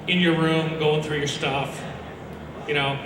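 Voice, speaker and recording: A loud young man giving a rambling, animated, informal talk about video games to a live audience, acting out characters as he goes. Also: on the high side, unhurried, noisy background. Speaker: speaker presenting a ranked list. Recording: very echoey hall, distant mic, loud music behind.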